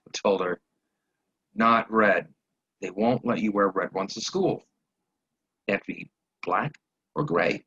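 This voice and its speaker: A man acting a weary, resigned married man in a halting, reflective dramatic monologue, with a husky voice. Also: on the low side, expressive, a bit bright, muffled recording, full character voice.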